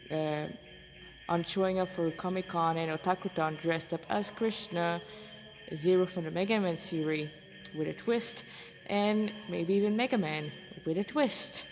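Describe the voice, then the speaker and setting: A woman's voice: on the low side, steady tone, measured pace. A woman reading her own fan fiction aloud in an informal, amateur way, as its writer. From Montreal, Canada. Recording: echoey room with long reverb.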